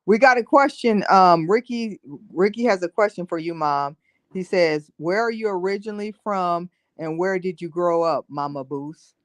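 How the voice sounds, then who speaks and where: A woman's voice: fairly low pitch, normal speed, no room sound. A woman hosting a podcast, chatting casually and jumping in with questions. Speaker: podcast host. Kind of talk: casual chat.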